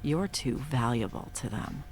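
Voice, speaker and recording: A woman's voice: rumbling low, lively intonation, measured pace, a little mellow, a little hiss or hum. A woman in her thirties voicing a profane, blunt woman supervillain in an audio roleplay, mocking at first and then coaxing with pet names. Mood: scornful. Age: 30s. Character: sharp-tongued woman supervillain, long-time arch-enemy.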